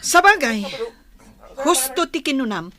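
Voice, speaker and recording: A woman's voice: sits high, big pitch swings, a little slow, pushed and bright, exaggerated voice. A woman acting a resolute leader of a rebel group in an Ilocano audio drama, declamatory and commanding. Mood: rallying, resolute.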